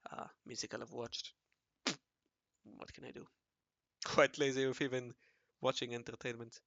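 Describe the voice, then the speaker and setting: A man with a raspy voice talking casually to himself while he draws, mumbling and correcting himself as he goes. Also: medium pitch, pushed and bright, no room sound. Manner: mumbling and self-correcting, often murmuring half to himself. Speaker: hobby artist narrating his drawing session. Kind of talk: casual think-aloud commentary while drawing.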